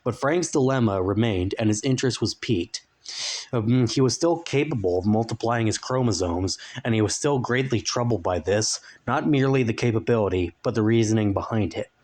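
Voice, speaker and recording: A man's voice: on the low side, lively intonation, a little fast, darkish tone. A man narrating a story as a dramatic reading, in scripted audiobook style.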